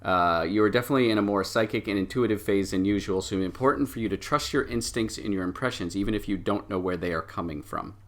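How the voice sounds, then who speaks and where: A man with a fairly low voice leads a tabletop role-playing game. He narrates scenes and explains cards conversationally, pausing now and then as he finds his words. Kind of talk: narrating a story game and reading card meanings aloud.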